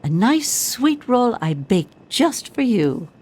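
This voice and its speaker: A woman's voice: on the high side, big pitch swings, takes it slowly, a little mellow, exaggerated voice. An older woman playing a rambling old vault dweller in a video game, kindly but tart, with old-fashioned exclamations. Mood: teasing, kindly. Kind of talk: video game character acting.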